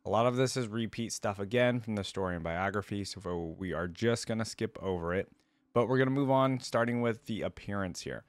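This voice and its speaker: A man with a fairly low voice, recorded dry and close to the mic. He hosts reaction commentary in a casual, conversational way, thinking aloud and asking his audience questions as he reads. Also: brisk, dry recording. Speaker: host of a reaction show.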